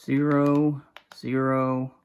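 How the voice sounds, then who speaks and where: A person giving a casual hands-on demonstration, halting and muttering to themself while working through each step. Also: mid-range voice, level tone, smooth. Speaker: video creator doing a product setup.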